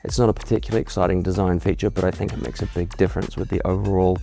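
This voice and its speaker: A middle-aged man, a homeowner speaking candidly in a relaxed testimonial interview, often restarting his words. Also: low-pitched, racing, creaky. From New Zealand. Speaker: homeowner giving a client testimonial. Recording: soft music underneath.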